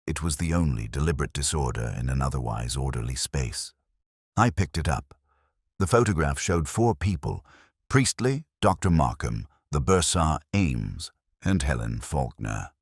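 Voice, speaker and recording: A man reading a first-person mystery story as its narrator, in a measured, reflective, unhurried way. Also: a bass voice, expressive, breathy edge, dry recording, clean studio sound.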